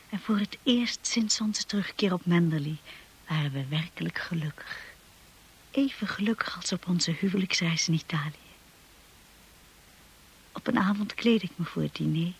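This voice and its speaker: An actress playing a timid, earnest young wife who tells her own story in a radio drama, with a husky, breathy voice. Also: lowish voice, poor audio. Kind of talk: radio drama acting and first-person narration.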